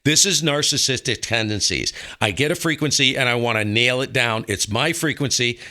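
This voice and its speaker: A man's voice: mid-range voice, moderate pace, bright, forceful tone. An American man talking casually as a show host, giving his opinions emphatically and repeating points for stress. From northern United States. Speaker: host of a ham radio show. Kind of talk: casual opinionated conversation about radio operating.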